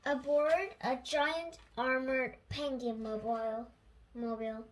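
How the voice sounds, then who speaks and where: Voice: high voice, fairly even intonation, slow pace. A young child reading a children's story aloud carefully, sometimes stumbling over or repeating words.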